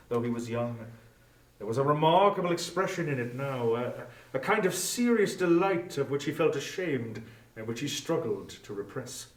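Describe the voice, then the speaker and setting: Solemn male story narrator in echoey room. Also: a little high. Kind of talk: dramatic scripted story narration. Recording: echoey room, distant from mic.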